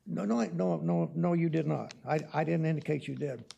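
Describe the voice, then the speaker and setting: Stuttering council guy arguing in a meeting, kinda mellow gravelly voice, some noise behind him. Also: on the high side, muffled recording. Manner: halting, repetitive, blunt and argumentative. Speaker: council member. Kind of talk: confrontational questioning at a public council meeting. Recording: noisy public meeting room recording.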